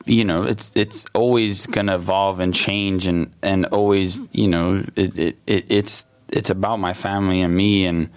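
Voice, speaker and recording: A man, talking through his art in a loose interview, hesitant and full of fillers and restarts. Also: low voice. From Germany, raised in the USA. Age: late 20s. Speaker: artist, guest. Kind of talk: casual interview talk. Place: exhibition hall.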